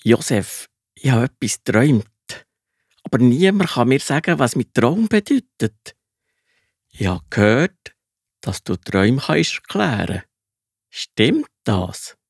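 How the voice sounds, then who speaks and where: A man's voice: mid-range voice, big pitch swings, slow, slightly rough, dry recording, studio quality, exaggerated voice. A man playing the Pharaoh of Egypt in a children's Bible audio drama, with a Swiss German accent. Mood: troubled, curious. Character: troubled male Pharaoh, grand ruler of Egypt.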